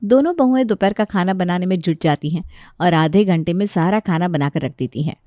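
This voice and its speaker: A woman's voice: lowish voice, expressive, a little fast, warm tone, no echo, muffled recording. A woman narrating a scripted children's family story.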